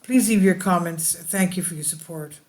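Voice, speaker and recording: A host reading space weather news aloud in a halting way, stumbling over some words. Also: medium pitch, a little mellow. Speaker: video channel host.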